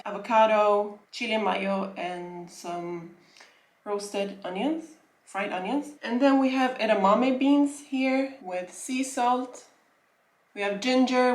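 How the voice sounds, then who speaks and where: Young woman from sweden chatting while she eats, rambles and corrects herself, echoey room. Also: mid-range voice. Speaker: vlogger. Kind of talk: casual mukbang Q&A chat. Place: room at home.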